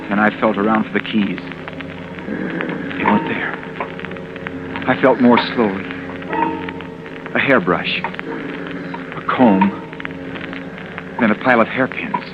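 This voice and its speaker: A frightened young fugitive narrating. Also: male voice, on the low side, slow, pauses often, over music. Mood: tense. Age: young adult. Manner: tense, hushed narration. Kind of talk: 1940s radio acting, first-person narration. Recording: muffled old radio broadcast with loud hiss.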